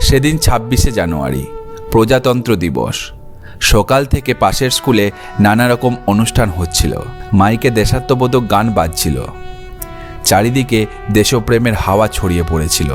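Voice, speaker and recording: A man's voice: fairly low pitch, lively intonation, moderate pace, over music. A man giving scripted narration for a children's cartoon story.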